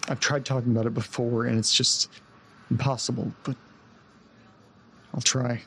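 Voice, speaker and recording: A man playing a rattled, apologetic young man in an audio drama, speaking haltingly and stammering in a husky, creaky voice. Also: medium pitch, little pitch movement, fairly bright tone, slight background noise, natural voice. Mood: resigned, uneasy. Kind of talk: naturalistic audio drama acting.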